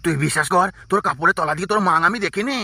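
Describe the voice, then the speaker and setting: A man voicing a lecherous old village grandfather in a comic cartoon, gravelly and rough, blustering defensively. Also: high-pitched, animated, racing, sharp tone, no room sound, full character voice. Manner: blustering, shamelessly protesting.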